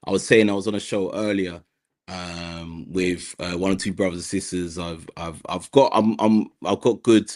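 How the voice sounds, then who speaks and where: This London man has a very low voice. He talks haltingly as a podcast guest and often restarts with ums and uhs. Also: steady tone, darkish tone. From South London, Nigerian heritage. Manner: hesitant, many fillers and restarts. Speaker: guest, mental health advocate. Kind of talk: podcast interview conversation.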